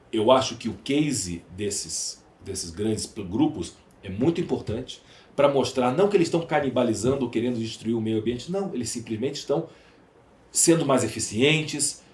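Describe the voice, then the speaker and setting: A man's voice: medium pitch, a little mellow. A Brazilian man speaking as an interview guest, conversational and emphatic, repeating phrases for rhetorical effect. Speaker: podcast guest, entrepreneur. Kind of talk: conversational interview, storytelling and opining. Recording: roomy, echoey sound.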